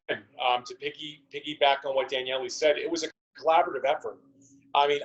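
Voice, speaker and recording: A man's voice: on the high side, slightly rough, low-quality recording. A man giving earnest, conversational answers as an interview guest, repeating words for emphasis. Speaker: interview guest, theatre company co-founder.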